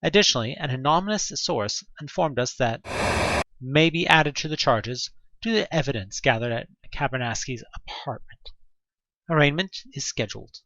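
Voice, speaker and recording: A man's voice: mid-range voice, lively intonation, normal speed, bright, forceful tone, no room sound, dull audio. A man reading out a newspaper crime report in a formal, detached manner within a horror audio drama.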